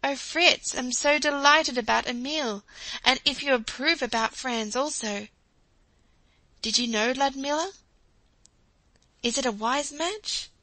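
A woman's voice: sits high, unhurried, pauses often, bright, forceful tone, no room sound, pitched above the performer's own voice, exaggerated voice, poor audio. A woman voicing an affectionate middle-aged mother who talks in an eager, gushing way.

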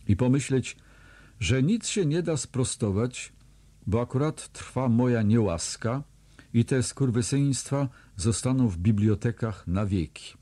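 Husky, low-voiced man reading diaries. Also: dark tone. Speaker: audiobook reader. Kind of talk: reading diary entries aloud.

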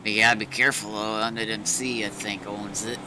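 A man's voice: fairly low pitch, flat delivery, quick pace, noisy background. A man talking casually in video game roleplay, in short, slightly hesitant phrases. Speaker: gamer, roleplay companion.

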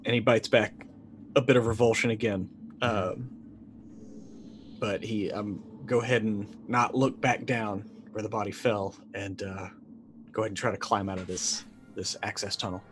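A middle-aged man with a fairly low voice talks as a player in a tabletop game. He narrates his character's moves casually and thoughtfully, often hesitating, over faint music. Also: steady tone, moderate pace. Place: indoor room, video call.

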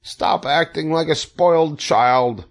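A man's voice: on the high side, slow, pitched above the performer's own voice. A man voicing an emotional young storybook pig who cries out and pleads desperately with his friends. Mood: urgent, demanding. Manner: crying out and pleading.

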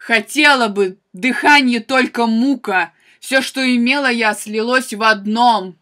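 A woman acting a betrayed, exiled wife of Greek tragedy, proud, bitter and vengeful. The voice is fairly high and piercingly bright, declaiming at a slow, measured pace with theatrical intensity. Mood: anguished. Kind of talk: theatrical classical tragedy monologue.

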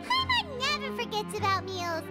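Anime game role: childlike fairy companion, extremely high and squeaky, animated, perky, loud music behind. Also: female voice, moderate pace, full character voice. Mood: perky, indignant. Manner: squeaky, perky, refers to herself in third person. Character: tiny excitable childlike female fairy companion, food-loving. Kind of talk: anime video game dialogue acting. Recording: clean studio voice over game music.

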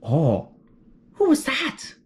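Lively, high-voiced woman reading to kids. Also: drawn-out words. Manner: gasping, exclaiming, asking the young listener questions. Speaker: children's story reader. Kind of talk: animated children's read-aloud.

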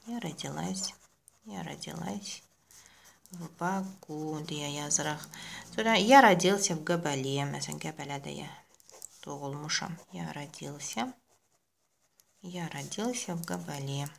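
An Azerbaijani-accented woman teaches children at a desk and says each word slowly as she writes it down. Her voice is a little low and has a gravelly edge, and she takes her time. She moves between two languages with patient repetition. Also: a little hiss or hum. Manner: switches between two languages, repeats words slowly while writing. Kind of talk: lesson for children. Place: indoors at a desk, camera over a workbook.

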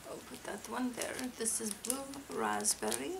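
A young woman voicing a soft-spoken cartoon monster doll girl with a put-on foreign accent, murmuring and whispering in an ASMR roleplay over a very noisy home recording. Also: fairly high pitch, sing-song, moderate pace, gravelly. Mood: soft, focused. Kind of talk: ASMR roleplay, unhurried sorting narration. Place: indoor living room floor, home. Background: loud hiss, crinkling wrappers, clicks.

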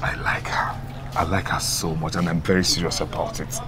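A man's voice: fairly low pitch, animated, a little slow, noisy background. An actor playing a cheerful, effusive man who laughs often, thanking a friend and confessing his love. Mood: giddy. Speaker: shop owner suitor.

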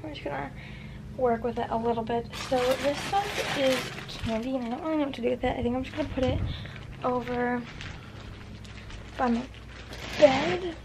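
A chatty teenage girl talks to her camera while cleaning her bedroom, her voice a touch high. She rambles, circling back on herself with plenty of fillers. The home recording has a clear hiss of noise behind it. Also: normal speed, pauses often. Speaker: vlogger. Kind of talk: casual vlog chat. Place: bedroom, indoors. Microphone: handheld camera at arm's length.

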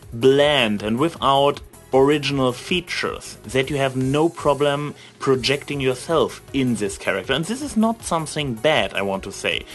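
Rambling, halting man over music. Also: mid-range voice, a bit bright. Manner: halting, searching for words, repeats and rephrases himself. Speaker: reviewer giving opinions on fan fiction. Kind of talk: unscripted rambling commentary.

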